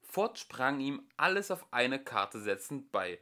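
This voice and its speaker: A young man reading an audiobook aloud in an expressive way, recorded at home. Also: medium pitch. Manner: slow and expressive. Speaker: audiobook reader. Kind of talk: amateur audiobook narration.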